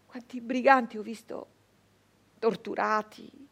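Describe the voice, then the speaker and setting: A doomed woman brigand of 1800s Italy in a theatrical radio monologue. Her voice sits fairly high, anguished yet defiant. She speaks in halting, emphatic phrases, repeating words and leaving long, heavy pauses. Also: fairly even intonation. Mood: bitter, impassioned.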